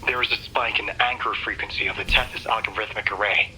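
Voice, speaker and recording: A man playing a brisk, serious colleague in a video game, giving an urgent technical briefing through a radio filter. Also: fairly low pitch, steady tone, bright, forceful tone, poor audio. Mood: urgent, businesslike. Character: brisk, serious male colleague reporting over comms. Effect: radio comms filter. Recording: radio-filtered, faint noise.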